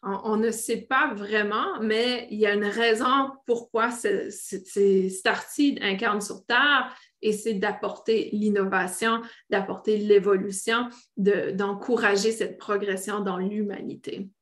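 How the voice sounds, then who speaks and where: A woman with a French Canadian accent speaks in a mid-range voice. She teaches live to an online audience without a script. Her phrasing is halting, as she searches for words and circles back over them. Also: mid-range voice, fairly even intonation, fairly bright tone. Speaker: spiritual teacher hosting a live stream. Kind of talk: unscripted live talk to online viewers.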